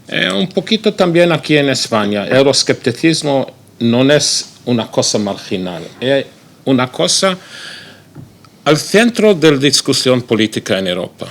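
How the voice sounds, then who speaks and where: A man with an Italian accent, speaking Spanish as a second language, gives an academic seminar talk in a halting way, with frequent pauses and fillers. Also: mid-range voice, fairly bright tone. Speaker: guest speaker.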